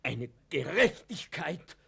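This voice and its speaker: Husky, indignant man. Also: fairly high pitch, sing-song, takes it slowly, sharp tone, full character voice. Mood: defiant, agitated. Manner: impassioned, emphatic. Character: indignant, stubborn Viennese former political prisoner seeking justice. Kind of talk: German radio play acting.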